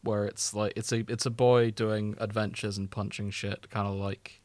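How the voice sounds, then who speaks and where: A man's voice: on the low side, level tone, moderate pace, a little mellow, no room sound. A man chatting casually as a podcast co-host, informal and opinionated in a bantering way.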